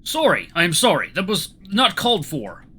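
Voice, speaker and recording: A man's voice: sits high, wildly swooping pitch, normal speed, sharp tone, husky, pitched above the performer's own voice, exaggerated voice. A man voicing a giant, proud, guarded ship's electrician with a Russian accent and stern, clipped speech that drops articles. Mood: apologetic. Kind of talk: audiobook-like character reading.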